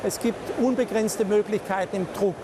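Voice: medium pitch, steady tone, moderate pace. A speaker in an interview, explaining a printing technology with enthusiasm. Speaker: printing specialist. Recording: interview with clear background noise.

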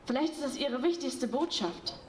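A woman acting in an amateur stage play, reciting in a soft, reflective way. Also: sits high, animated, moderate pace, reverberant, a little hiss or hum, low-quality recording.

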